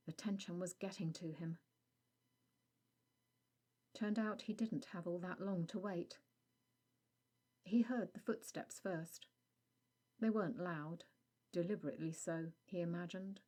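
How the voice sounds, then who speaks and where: A woman reading a scripted audio story as narrator, in a measured storytelling delivery. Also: fairly low pitch, mellow.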